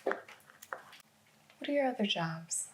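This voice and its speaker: A soft, half-whispering female interrogator, played by a woman questions patiently and reassuringly in an ASMR roleplay. Her middle-pitched voice is gentle and slow, over a clearly noisy background with small clicks. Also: lively intonation. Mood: gentle, encouraging. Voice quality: soft, hushed, often slipping into whispers. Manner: slow, reassuring, echoes back answers. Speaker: ASMR performer.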